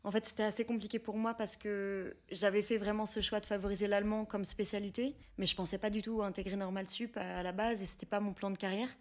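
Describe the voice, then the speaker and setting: A woman's voice: mid-range voice, flat delivery, quick pace, hardly any pauses. Interview guest: young woman, French accent, mid-range voice, reflective and explanatory, slightly hesitant. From France. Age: young adult. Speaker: guest, researcher, teacher and actress. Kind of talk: conversational interview answers.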